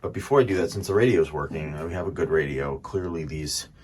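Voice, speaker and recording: A man's voice: extremely low pitch, fast talker, a little mellow. A man giving casual, unscripted how-to commentary on a repair, matter-of-fact and explaining step by step. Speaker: antique radio repair hobbyist showing a repair. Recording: faint background noise.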